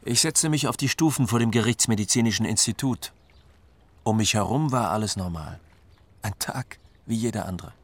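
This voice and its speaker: A man's voice: on the low side, moderate pace. An actor playing a weary, matter-of-fact man recounting events interview-style in a radio drama. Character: weary, matter-of-fact male friend of the bereaved.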